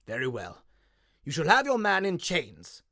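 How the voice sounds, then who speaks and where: A man's voice: a little high, huge pitch swings, a little slow, pushed and bright, dry recording, studio quality, full character voice. A man voicing a plump, genial, slightly nervous king with a chatty, lilting delivery. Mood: uneasy.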